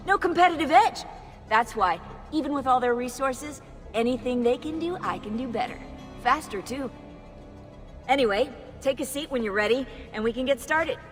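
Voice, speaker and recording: An actress playing an upbeat young tech expert, boastful and enthusiastic, in a game cutscene. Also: female voice, fairly high pitch, normal speed, big-room sound, soft background music. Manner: excitable, boastful. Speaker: technician running the machine.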